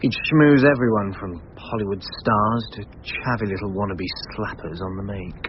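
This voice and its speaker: An actor playing a bored young would-be big shot in a radio drama, offhand and disdainful. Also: male voice, on the low side, normal speed, lightly acted. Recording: muffled radio with faint noise.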